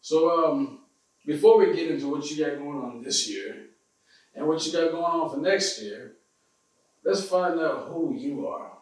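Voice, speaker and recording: A man's voice: on the high side, measured pace, darkish tone, distant mic. A man hosting a casual interview, greeting with hype and asking short questions. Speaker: interview host. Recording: very echoey, from off-mic.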